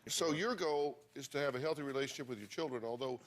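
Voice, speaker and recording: A man's voice: mid-range voice, fast talker, a little hiss or hum. A man hosting a talk show, steering a heated family dispute with dry jokes.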